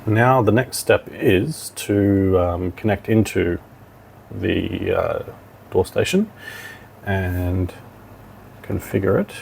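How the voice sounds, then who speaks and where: A man with a fairly low voice that often drops into creak. He talks through a technical demonstration informally, step by step, thinking aloud as he goes. There is faint noise behind him. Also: lively intonation, slow pace, long pauses, a little mellow. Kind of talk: software tutorial walkthrough, talking while clicking.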